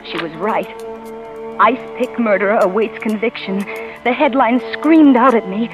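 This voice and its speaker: An actress plays a frightened young wife, stammering and breathless as she narrates. Her voice sits high. It sounds like an old radio broadcast with faint music underneath. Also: moderate pace, lo-fi sound, natural voice. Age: young adult. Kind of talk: 1940s radio acting.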